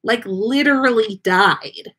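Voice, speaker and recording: A woman voicing a joyful, energetic young female ghost with a gushing, breezy, casual way of talking in a story. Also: fairly high pitch, takes it slowly, breathy edge, full character voice. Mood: bubbly, excited.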